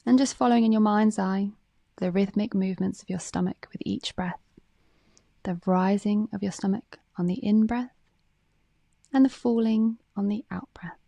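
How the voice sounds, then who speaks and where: Female meditation guide, mellow and relaxed with gravelly fry, calm scripted read, close dry sound. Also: mid-range voice, moderate pace, no echo.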